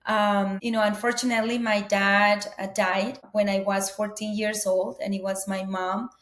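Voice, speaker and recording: A woman's voice: medium pitch, level tone, moderate pace. Earnest woman, roomy sound. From Colombia. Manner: earnest, warm storytelling with frequent "you know" fillers. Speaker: guest, business owner. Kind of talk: interview conversation. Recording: roomy echo.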